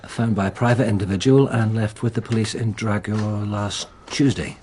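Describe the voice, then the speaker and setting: A man's voice: lowish voice, fairly even intonation, normal speed, a little mellow, breathy edge. A man playing a practical local police detective in a radio drama, reporting in a methodical, matter-of-fact way. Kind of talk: naturalistic radio drama acting.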